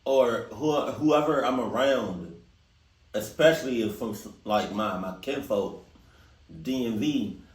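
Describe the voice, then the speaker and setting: A man's voice: mid-range voice, slow, distant mic. A man from Maryland with a Maryland accent, hosting a reaction video and chatting casually with his viewers. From Maryland, USA. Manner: animated, laughing, talks straight to viewers. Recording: roomy echo, a bit off-mic.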